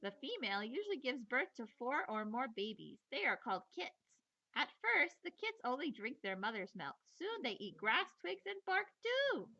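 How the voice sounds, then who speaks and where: A woman teacher reading a children's nature book aloud in an expressive, playful storytelling manner, with chatty asides. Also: high-pitched, normal speed, muffled recording.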